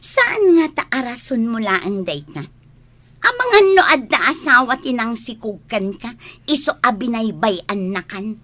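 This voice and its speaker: A woman playing a scornful, bossy household scold in a radio drama, nagging and sharp-tongued. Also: on the high side, big pitch swings, a little slow, exaggerated voice. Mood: scornful, accusing.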